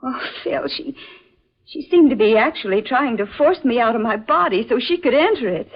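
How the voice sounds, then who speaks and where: A woman playing a frightened young film actress haunted by dreams, breathless and halting in 1940s radio melodrama style. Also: fairly high pitch, lively intonation, husky. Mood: shaken, horrified. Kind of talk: 1940s radio drama acting. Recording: muffled vintage radio recording.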